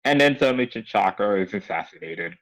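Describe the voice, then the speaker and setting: A man giving a casual comedic reading on a livestream, halting and stumbling over words. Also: fairly low pitch, lively intonation, poor audio. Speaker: co-reader on a livestream.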